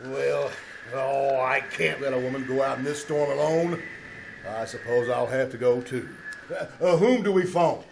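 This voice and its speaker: Guy doing a whiny fussy selfish man, high creaky voice, radio play, bit of background noise. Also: unhurried. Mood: grumbling, miserable. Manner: pompous, complaining. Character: fussy, whining, selfish male bus passenger. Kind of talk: 1940s radio comedy acting. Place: small theater stage. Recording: live stage performance with background noise.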